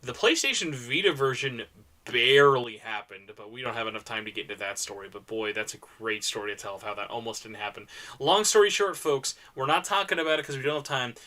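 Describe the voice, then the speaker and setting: Male convention presenter, forceful bright tone, mid pitch, informal and enthusiastic, leans on repeated words for emphasis. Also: animated, brisk. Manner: emphatic, repeats words for stress, casual slang. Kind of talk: enthusiastic informal talk recommending games.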